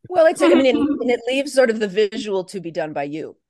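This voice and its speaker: A woman's voice: on the high side, very animated, a little fast, darkish tone, no echo. Woman guest explaining stuff casually, close dry sound, no room on it. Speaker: actress, podcast guest.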